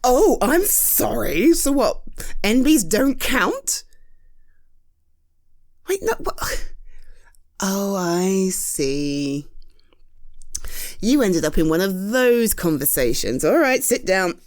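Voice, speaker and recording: A British woman in a roleplay, talking frankly and reassuringly to a friend, with halting restarts and a close studio mic. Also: medium pitch, big pitch swings. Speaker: audio roleplay performer.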